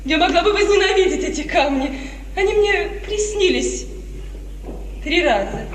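A woman's voice: very high voice, animated, normal speed, slight background noise. A woman playing a tender, innocent young bride with a girlish, theatrical delivery in a 1950s radio play. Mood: playful. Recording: 1950s radio recording, echoey hall, distant.

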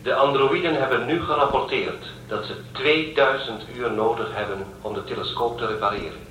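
A man playing a cold, authoritarian ship intelligence in a radio drama, measured and detached in delivery. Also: medium pitch, fairly bright tone, slight background noise, close to an everyday voice. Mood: stern, official. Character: controlling male ship intelligence called an angel, cold and authoritarian. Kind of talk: Dutch radio drama acting. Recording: echoey, distant, music underneath.

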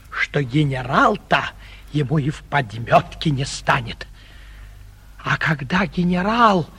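An actor playing an awestruck, gossipy small-town gentleman, flustered and marvelling, in a theatrical 1940s radio play. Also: sits high, vocal fry, slightly rough, exaggerated voice.

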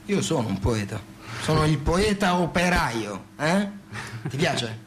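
A man's voice: a little high, very animated, unhurried. Against audible background noise, a man playing a working-class dreamer speaks. He is boastful and poetic, with a childlike competitive streak, in the manner of stage-style radio drama. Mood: playful, boastful.

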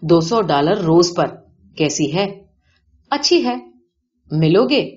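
A woman's voice: fairly low pitch, very animated, a little mellow. A woman reading the part of a rich, boastful male gambling-den boss in a story, showy, blunt and colloquial. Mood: proud, offering. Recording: dull lo-fi audio.

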